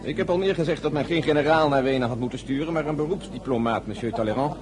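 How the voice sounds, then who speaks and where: A man's voice: mid-range voice, fast talker, natural voice. An actor playing a curt, sceptical statesman debating in a radio drama. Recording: salon crowd murmur behind.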